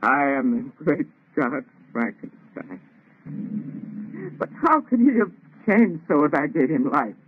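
An actor playing an arrogant, obsessed scientist in an old radio melodrama, declaiming theatrically in a husky voice. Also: male voice, a little high, big pitch swings, measured pace, slight background noise. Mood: brooding, anguished. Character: arrogant, feverishly obsessed scientist creator of a monster. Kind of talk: 1930s radio melodrama acting. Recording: tinny, band-limited old radio recording.

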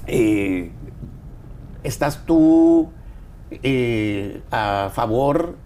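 A Mexican man hosting a casual interview, talking in a relaxed, rambling way with lots of fillers. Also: mid-range voice, drawn-out words, slight background noise. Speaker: interview host.

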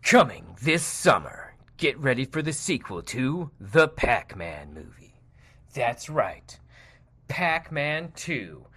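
A man voicing a mock-epic movie trailer announcer, dramatic and deliberate in delivery. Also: a little high, pushed and bright, heavily acted. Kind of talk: parody film trailer narration.

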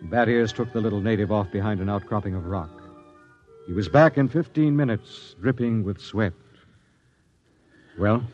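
An American actor playing a grave, driven space expedition captain, narrating and acting in a dramatic, hard-boiled classic radio style. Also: male voice, on the low side, normal speed, long pauses, a little mellow, close to an everyday voice. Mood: somber, haunted. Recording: dull vintage radio recording with music.

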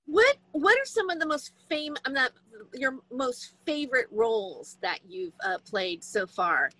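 A woman's voice: sits high, very animated, unhurried, pushed and bright, no echo, rough recording. A woman interviewer asking casual questions, often hesitant and stumbling over her words.

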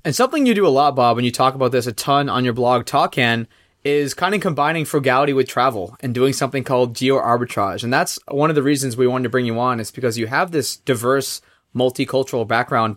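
A man's voice: medium pitch, fairly bright tone. A man hosting a podcast, setting up friendly questions with background about his guest. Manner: friendly, sets up questions with context from the guest's blog. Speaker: podcast host. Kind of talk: casual interview questions.

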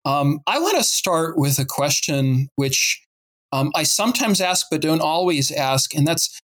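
A man's voice: a little high, fairly bright tone. A man hosting an interview podcast, moving between prepared introductions and conversational questions. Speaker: podcast host.

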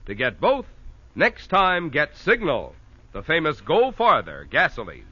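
A man's voice: fairly high pitch, huge pitch swings, measured pace, sharp tone, a little hiss or hum. A man announcing a 1940s radio advertisement in polished salesman patter. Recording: muffled old radio broadcast.

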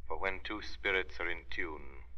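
An actor playing a solemn mystic friend in old-time radio drama, with lofty, poetic diction. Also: male voice, deep voice, moderate pace, pushed and bright. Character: solemn, mystic man bidding a friend farewell. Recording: dull, band-limited vintage radio recording.

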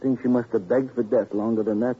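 An actor playing a weary, anguished police doctor with a husky, halting, mournful delivery in an old-time radio crime drama. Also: male voice, medium pitch, fairly even intonation, close to an everyday voice. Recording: thin phone-like vintage radio recording.

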